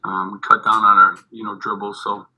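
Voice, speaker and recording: A middle-aged man, a head basketball coach, answering postgame questions over a video call, halting and repeating his words, with many fillers. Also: fairly low pitch, flat delivery, highs cut off. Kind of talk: postgame press conference answers. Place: indoors in front of a team backdrop. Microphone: video call webcam.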